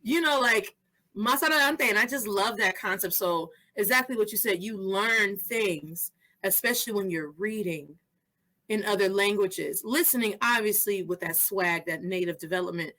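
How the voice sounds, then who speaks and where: A woman's voice: medium pitch, moderate pace, bright, forceful tone. A woman in her thirties hosting a podcast interview, talking animatedly and mixing Spanish phrases into her English. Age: 30s. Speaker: podcast host. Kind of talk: casual interview chat.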